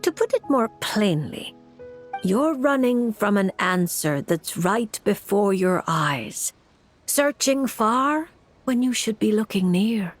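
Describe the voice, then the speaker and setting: An actress playing a composed, mysterious noblewoman fortune-telling mage, theatrical and deliberate, in a video game. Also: mid-range voice, lively intonation. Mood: cryptic, calm. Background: music.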